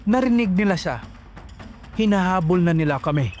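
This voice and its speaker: A man's voice: sits high, animated, normal speed, darkish tone, faint music behind. A man hosting a horror story channel who narrates in a tense, dramatic scripted style.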